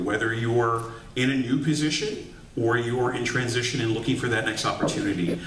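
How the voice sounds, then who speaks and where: A man, an executive coach, giving a lively motivational workshop talk to an audience, often tossing "right?" back at the room. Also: fairly low pitch, recorded from a distance. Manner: upbeat, calls to the audience with "right?". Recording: echoey room recorded from afar with faint hiss.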